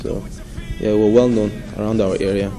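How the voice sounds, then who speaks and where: A man's voice: fairly low pitch, little pitch movement, normal speed, over music. A young man answering interview questions indoors in an easygoing, modest way. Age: young adult. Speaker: interviewee, footballer's son. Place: indoor room.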